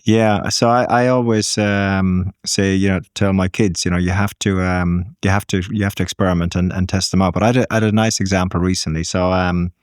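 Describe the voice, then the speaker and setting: Low-voiced Irish man, thoughtful and measured. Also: fairly even intonation, clean tone, no room sound, clean studio sound. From Ireland. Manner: measured, thinking aloud with frequent ums. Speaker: guest business executive. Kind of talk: podcast interview conversation.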